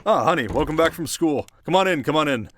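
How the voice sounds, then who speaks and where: A man playing a stern, demanding middle-aged rich businessman father in an audio roleplay, speaking in a measured, formal, commanding way. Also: a little high, expressive, background noise, pitched above the performer's own voice, natural voice. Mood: pleased, businesslike.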